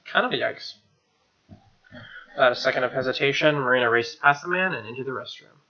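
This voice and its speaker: A man's voice: mid-range voice, brisk, pushed and bright. A man reading a visual novel aloud, mixing story narration with casual, sweary, incredulous asides. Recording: dull lo-fi mic under guitar music.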